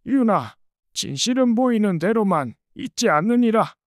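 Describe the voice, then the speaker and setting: Casting: woman playing ghostly old monk mentor, serene and oracular, medium pitch, archaic folk-tale speech, very dry recording. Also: very animated, normal speed, no echo, natural voice. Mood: solemn teaching. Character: serene, sage old male monk's spirit in a dream. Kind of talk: dramatized Korean folk tale dialogue.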